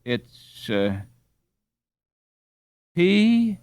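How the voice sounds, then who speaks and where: A man's voice: sits high, sing-song, very slow, a little mellow, smooth, no room sound, pitched above the performer's own voice, heavily acted. A man voicing a confident young man in a storybook, self-assured yet hesitant as he speaks. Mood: puzzled.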